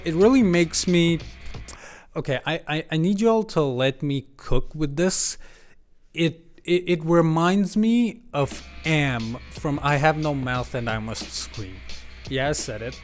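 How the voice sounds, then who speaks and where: A man with a slightly high voice talks through a game analysis off the cuff. He is slangy and emphatic, with a joking edge, over light background music. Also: a little slow. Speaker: video game commentary YouTuber. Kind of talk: casual, unscripted game analysis monologue.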